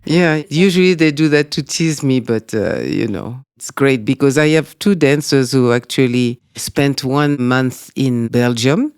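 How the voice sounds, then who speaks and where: A man with a French accent speaking as a guest in an interview, talking in a measured, reflective way. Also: mid-range voice, smooth, dry recording, clean studio sound. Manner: measured, reflective, with frequent "you know". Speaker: guest, dance school director. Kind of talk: conversational interview.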